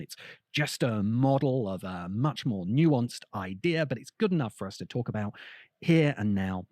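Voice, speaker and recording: A man delivering an essayistic podcast monologue, measured, articulate and emphatic, as a host and critic. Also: mid-range voice, dry recording, studio quality.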